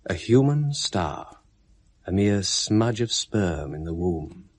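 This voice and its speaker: Husky, deep-voiced male narrator, measured and literary, for a 1970s radio play. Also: very animated, exaggerated voice. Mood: calm. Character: detached male narrator of a space drama.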